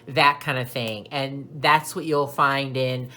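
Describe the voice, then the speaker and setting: A woman hosting a craft channel, chatting casually and rambling a little, slightly hoarse and clearing her throat now and then. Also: very deep voice, fairly even intonation, sharp tone. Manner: chatty, rambling, slow and deliberate. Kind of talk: casual vlog talk.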